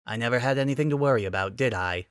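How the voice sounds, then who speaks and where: A man's voice: medium pitch, rapid-fire, smooth, no room sound, clean studio sound, natural voice. A man voicing an awkward, boyish young prince with a self-conscious manner in a romantic comedy story reading. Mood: sheepish. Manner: flustered, sheepish, self-conscious. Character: awkward young prince, flustered, touchy-feely and boyishly petulant. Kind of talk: dramatic reading of romantic comedy fan fiction.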